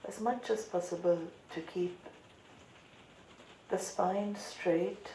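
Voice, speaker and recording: A woman meditation teacher leading a guided meditation calmly and deliberately, with long pauses between phrases. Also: mid-range voice. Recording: roomy echo, light background noise.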